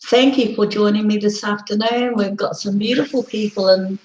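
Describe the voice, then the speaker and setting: A woman with a croaky, hoarse voice, hosting a casual live broadcast and rambling with many ums. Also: mid-range voice, fairly even intonation, moderate pace, darkish tone, roomy sound, slight background noise, low-quality recording. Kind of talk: casual live-stream chat.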